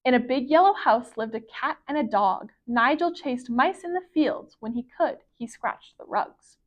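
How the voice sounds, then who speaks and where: Woman, high pitch, children's storyteller, expressive, careful phrasing, picture-book read-aloud. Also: moderate pace, highs cut off. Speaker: library storyteller.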